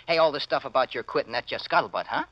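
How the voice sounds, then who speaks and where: An actor with an American accent playing a cocky, slangy teenage son in a 1940s radio play. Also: male voice, medium pitch, quick pace, dry recording, highs cut off, natural voice. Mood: breezy. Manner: brash, slangy.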